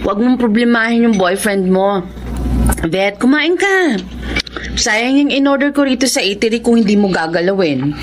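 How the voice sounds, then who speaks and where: A woman's voice: fairly high pitch, animated, moderate pace, natural voice. An actress with a Filipino accent playing a frank, caring boss in a radio drama, coaxing and emphatic as she advises. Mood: frank, concerned. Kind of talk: Tagalog radio drama acting.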